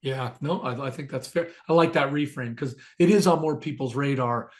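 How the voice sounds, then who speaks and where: A man's voice: mid-range voice, darkish tone. A man hosting an interview, upbeat and affirming toward his guest. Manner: upbeat, affirming, quick to laugh. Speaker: interview host.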